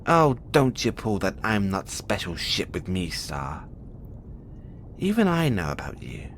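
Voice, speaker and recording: Man acting as a cocky, volatile caged experiment, animated. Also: low-pitched, measured pace, a little hiss or hum, full character voice. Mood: teasing, probing. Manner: teasing, swaggering, swinging from soft murmurs to loud outbursts. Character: huge imprisoned male experiment, cocky, bitter, volatile. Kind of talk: intimate audio roleplay monologue addressed to the listener.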